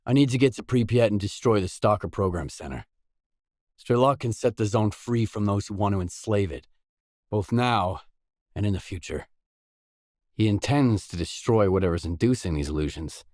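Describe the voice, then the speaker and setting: An actor playing an earnest, determined stalker in a post-apocalyptic video game, speaking in a measured, solemn way. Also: male voice, lowish voice, a little mellow, no echo, studio quality. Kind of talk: scripted video game dialogue.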